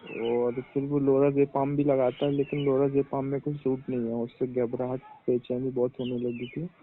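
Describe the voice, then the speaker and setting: Flat-toned male caller on a tinny phone. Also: medium pitch, moderate pace. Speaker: caller seeking health advice. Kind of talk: informal phone conversation. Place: phone call. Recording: tinny phone line, faint noise.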